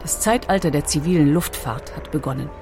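Low-voiced woman narrating a documentary. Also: brisk, music in the background.

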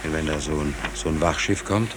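An actor playing an anxious, earnest sailor in a 1940s German radio drama, speaking haltingly and repeating words. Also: male voice, very deep voice, background noise. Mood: worried. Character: naive, anxious young sailor, brooding about war and state.

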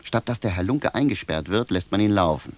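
Very deep-voiced grumbling cobbler. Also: male voice, expressive, brisk. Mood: sarcastic, bitter. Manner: sardonic, grumbling. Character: gruff, aggrieved poor cobbler, complaining and sarcastic. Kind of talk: German radio drama acting.

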